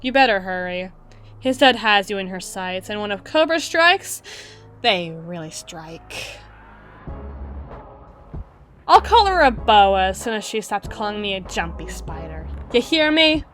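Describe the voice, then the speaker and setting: A woman playing a wistful, guarded female half-spider bouncer in an intimate roleplay monologue, musing with dramatic pauses and soft trailing phrases. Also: mid-range voice, big pitch swings, soft background music. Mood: sardonic, teasing. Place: close-miked recording with music playing behind.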